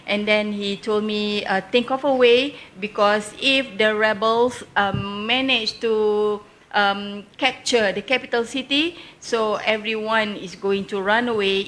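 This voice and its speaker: A woman with a Malaysian accent answering as an interview guest, telling travel stories step by step in halting, unscripted English. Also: mid-range voice, a bit bright, slight background noise.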